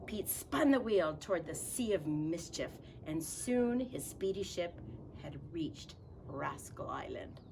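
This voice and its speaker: A woman's voice: on the low side, animated, takes it slowly, creaky, background noise. A woman reading a picture book aloud to children playfully, with folksy, chatty asides. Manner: folksy, informal grammar. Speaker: storybook reader for children.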